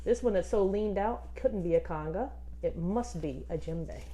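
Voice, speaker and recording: A woman reading a children's book aloud expressively, like a teacher, pausing to instruct and put questions to young listeners. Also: on the low side, warm tone. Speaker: music teacher reading a picture book to pupils.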